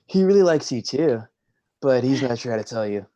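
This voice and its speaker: A man playing a goofy, meddling sea god buddy in a comic staged reading, casual, slangy and boisterous, with a husky voice. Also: mid-range voice, lively intonation, brisk, warm tone, lightly acted. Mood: laid-back, teasing.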